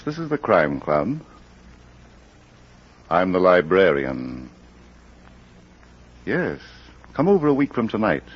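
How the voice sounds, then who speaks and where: An actor playing a genial, courteous crime club librarian who hosts a 1940s radio show in a measured, theatrical announcing style. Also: male voice, sits low, husky, full character voice. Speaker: host and announcer. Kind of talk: 1940s radio announcing, half of a phone call. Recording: muffled old radio recording, light hiss.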